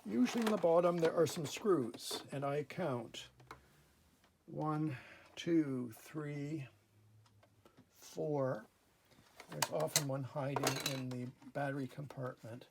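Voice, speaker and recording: A man hosting a casual hands-on repair demonstration at a workbench, explaining methodically step by step in a gravelly, husky voice. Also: a little high, slow pace, pauses often, background noise. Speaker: host of a repair channel. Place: indoor workbench in a workshop.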